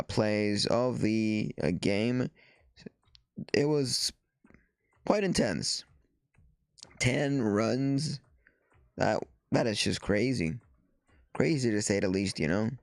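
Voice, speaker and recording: A man hosting a softball show, talking casually and reading news aloud, with a stuffy nose after the flu and a halting delivery full of fillers and restarts. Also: lowish voice, vocal fry, clear, resonant tone, no room sound, studio quality.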